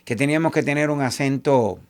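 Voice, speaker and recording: A man from Cuba with a Cuban Spanish accent, a salsa singer talking as an interview guest and telling animated stories, often quoting other people. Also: medium pitch, brisk. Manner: animated, quotes others in lively asides. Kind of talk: casual interview storytelling.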